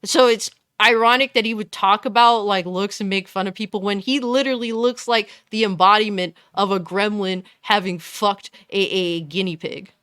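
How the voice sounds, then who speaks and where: A woman with a fairly deep voice giving a blunt, scornful, profane commentary rant. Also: steady tone, normal speed, hardly any pauses, fairly bright tone, clear, resonant tone, dry recording, clean studio sound. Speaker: commentary YouTuber. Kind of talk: ranting commentary.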